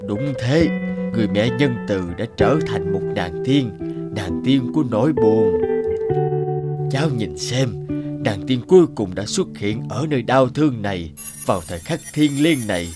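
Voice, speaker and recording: An actor voicing a kindly old male family guardian spirit in a cartoon, speaking gently and patiently, over loud music. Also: lowish voice, lively intonation, normal speed, close to an everyday voice. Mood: solemn.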